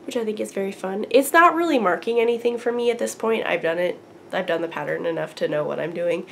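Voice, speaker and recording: A woman's voice: mid-range voice, fast, vocal fry, fairly bright tone, slight background noise. Congested young woman chatting casually. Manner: chatty, lots of ums and likes. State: congested, getting over a cold. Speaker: knitting podcast host. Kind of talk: casual chat to camera.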